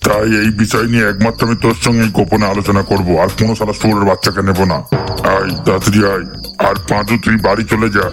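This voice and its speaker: A man's voice: fairly low pitch, flat delivery, quick pace, close to an everyday voice. A man voicing a foul-mouthed, bossy, scheming club boss in a comedy cartoon. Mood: secretive, commanding. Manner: swearing, bossy, scornful. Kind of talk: Bengali comedy cartoon voice acting. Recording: music behind the voice.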